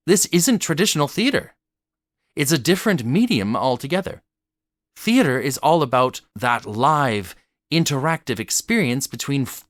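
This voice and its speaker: A man's voice: medium pitch, fairly bright tone, no room sound, clean studio sound. A man narrating a scripted video essay in an articulate, rhetorical way, speaking directly to the listener. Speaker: video essayist and theatre educator.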